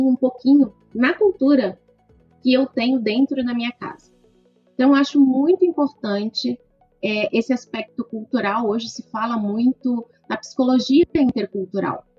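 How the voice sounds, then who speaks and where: A middle-aged woman with a gaúcho accent answers an interview question in a measured, explanatory way, tagging her sentences with "né". Her voice sits a little high and the sound is dry and close. The recording is slightly dull, with faint music in the background. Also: darkish tone, breathy edge, no room sound. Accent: gaúcho Brazilian Portuguese. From southern Brazil (Rio Grande do Sul). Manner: measured, lists points with frequent "né". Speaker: lawyer guest.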